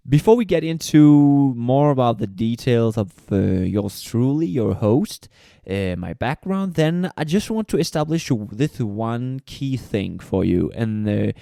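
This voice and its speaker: A man's voice: medium pitch, lively intonation, measured pace, gravelly, dark tone, no room sound, studio quality. A young man from Mauritius hosting a solo podcast, talking earnestly and conversationally with frequent fillers and restarts. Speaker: podcast host.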